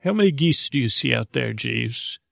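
A fussy, wealthy master, acted out by a male podcaster hands out exacting orders to his servant. His voice is gravelly and dry, pompous yet halting, in the style of a rambling storyteller. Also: mid-range voice, expressive, dry recording. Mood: fussy, displeased. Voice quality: creaky.

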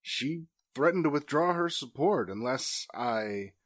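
Male actor as a jittery young artist, slow, hesitant, lively pitch. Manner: defensive, hesitant. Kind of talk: amateur audio drama acting.

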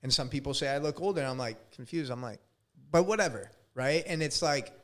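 A man in his thirties hosting a podcast, chatting casually and relaxed, with lots of "like" and some swearing. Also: mid-range voice, a little fast. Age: 30s. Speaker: podcast host. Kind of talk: casual interview chat.

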